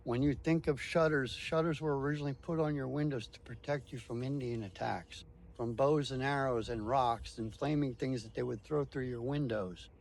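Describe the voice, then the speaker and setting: A man's voice: mid-range voice, steady tone, moderate pace, creaky, a little mellow. A man speaking as a tour guide, explaining things step by step in short, emphatic declarative phrases.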